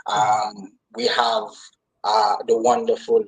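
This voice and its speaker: A man's voice: lowish voice, monotone, slow pace, poor audio. A man from Jamaica with a Jamaican accent, chatting casually as an interview guest and repeating phrases for emphasis. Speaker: guest, actor and comedian.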